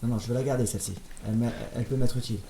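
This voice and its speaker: A man's voice: medium pitch, steady tone, rapid-fire, slight background noise. A man casually commenting on his gameplay, chatty and playful, with jokey asides to his viewers. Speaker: let's play host. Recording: roomy sound with loud game music.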